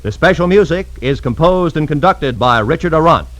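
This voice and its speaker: Lively American radio announcer, higher-pitched man. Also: moderate pace, no echo. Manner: polished, emphatic, formal. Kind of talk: 1950s radio announcing, scripted. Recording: old radio broadcast, music behind.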